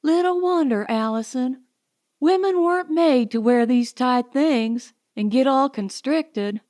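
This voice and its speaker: A woman's voice: sits high, unhurried, a little mellow, pitched above the performer's own voice. A woman voicing an assured, sympathetic female companion who explains things emphatically in a storybook.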